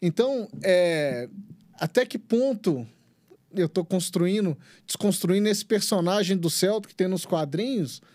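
A male interviewer with a high voice asks long, earnest questions. He circles around his point with repetitions and restarts. The sound is dry and close. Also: expressive, measured pace, a little mellow, dry recording. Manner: earnest, rambling, repeats himself.

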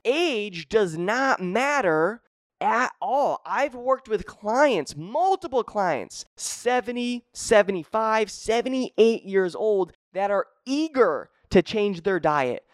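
A man's voice: high-pitched, dry recording, clean studio sound. A young man hosting his own podcast as a fitness coach, talking energetically and emphatically and repeating key phrases. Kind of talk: motivational solo podcast talk.

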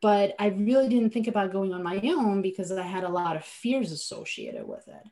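A woman's voice: medium pitch, big pitch swings, moderate pace, dark tone. Earnest woman. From Slovenia. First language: Slovenian. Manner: earnest, reflective, with frequent restarts. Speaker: guest, finance business owner. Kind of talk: podcast interview answers.

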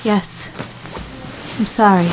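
A woman's voice: mid-range voice, steady tone, slow, lightly acted, low-quality recording. An actress playing an anxious, devoted woman over music. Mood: submissive, hurt. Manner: pleading, yielding. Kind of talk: dramatic audio fiction.

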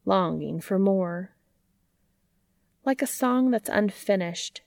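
A woman's voice: medium pitch, gravelly, a little mellow, no echo, studio quality. A woman reading a scripted story aloud as a storybook narrator. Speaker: fan fiction reader and narrator.

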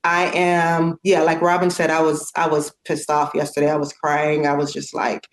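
A woman with a somewhat low voice talks in an earnest, outspoken way and uses frequent fillers. She sounds as if she is in an echoey room. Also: completely flat delivery, normal speed. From United States. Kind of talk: casual conversation.

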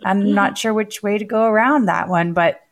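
A woman co-hosting a chatty podcast, her voice still raspy from a bout of laryngitis. She talks quickly and loosely, with plenty of fillers and restarts, and her pitch sits in a middle range. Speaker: podcast co-host. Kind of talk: casual chat.

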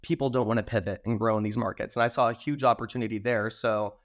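A hoarse man, a podcast guest, confidently explaining how he runs his property management business. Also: fast talker. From Arizona. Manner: confident, explanatory, steady pitch. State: hoarse from recent illness. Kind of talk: casual interview answers.

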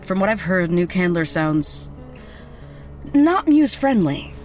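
Bubbly, animated young woman vet. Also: lowish voice, a little slow, faint music behind. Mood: warm, earnest. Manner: chatty, excitable, casual swearing. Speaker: veterinarian. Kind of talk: naturalistic audio drama acting.

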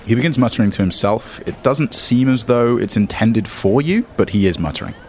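A man's voice: mid-range voice, expressive, fairly quick. A man narrating as game master, mixing descriptive scene-setting with wry, joking asides.

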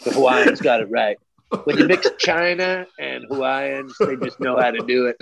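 A man's voice: mid-range voice, normal speed, creaky, fairly bright tone. A man chatting casually as an interview guest, joking around in an irreverent, sweary way.

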